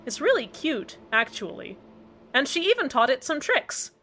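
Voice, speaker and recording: A woman's voice: sits high, sing-song, moderate pace, pushed and bright, slight background noise. A woman hosting a scripted community radio show, delivered in a cheerfully matter-of-fact way. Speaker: radio host. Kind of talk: scripted fan-fiction radio narration.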